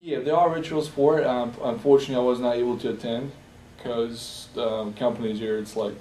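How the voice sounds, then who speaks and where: Soft-voiced Bosnian-born man, halting, roomy echo. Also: mid-range voice, steady tone. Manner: halting, many fillers and restarts. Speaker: interviewee. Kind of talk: personal interview testimony. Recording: roomy echo, distant from the mic, faint hiss.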